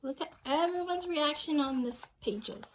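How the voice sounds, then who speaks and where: A young woman reading a picture book aloud to children, pausing to ask them questions and explain things simply. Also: sits high, a little hiss or hum. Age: young adult. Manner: simple explanatory asides, prompting young listeners.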